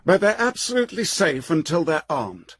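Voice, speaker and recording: An eager English technical expert in a radio drama. He is fussy and deferential, with a fairly high, husky voice that bounces up and down. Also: big pitch swings, normal speed, fairly bright tone. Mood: apologetic, fussy. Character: eager, apologetic male weapons boffin who loves technical detail.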